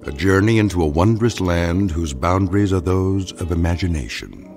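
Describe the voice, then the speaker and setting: A man delivering a dramatic scripted intro narration, slow and ominous. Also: low voice, lively intonation. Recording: loud music behind, distant sound.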